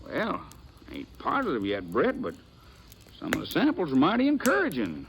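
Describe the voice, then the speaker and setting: An actor playing a testy, defensive American assayer in an old radio western, talking plainly and with exasperation. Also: male voice, fairly high pitch, wildly swooping pitch, normal speed. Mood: defensive, exasperated. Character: testy, defensive frontier assay office man. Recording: noisy vintage radio recording.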